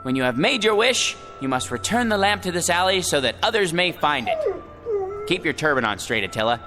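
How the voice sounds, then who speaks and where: A man's voice: high voice, sing-song, fairly quick. An actor in a radio drama playing a hapless everyman with grand pretensions, theatrical, moving between pompous and faltering. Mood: grand, formal. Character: hapless, grandiose everyman dreaming of being president, then genie. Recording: radio drama with music underneath.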